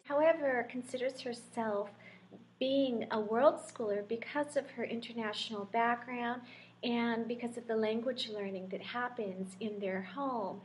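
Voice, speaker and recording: A woman's voice: on the high side, a little hiss or hum. A woman hosting a casual podcast, upbeat and welcoming as she introduces a guest. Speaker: podcast host.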